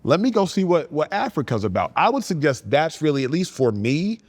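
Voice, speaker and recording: A man's voice: fairly high pitch, sing-song, brisk. A man in his thirties, a podcast guest talking reflectively in casual chat and searching for words. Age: 30s. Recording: long hall reverb.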